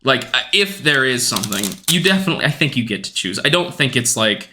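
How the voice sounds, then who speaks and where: Twenties man, bright tone, earnest and rambling, lots of restarts; casual podcast talk. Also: mid-range voice, very animated, fast, a little hiss or hum. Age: 20s. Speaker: podcast guest.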